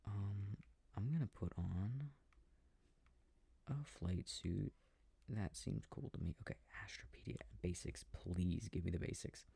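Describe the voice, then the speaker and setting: A man softly rambling to himself as he plays a video game for a sleep aid, often dropping into whispers, with a breathy, gravelly voice close to the mic. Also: sits low, unhurried, dry recording. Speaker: audio creator playing a video game. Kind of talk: soft rambling gameplay talk for a sleep aid. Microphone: close, dry microphone.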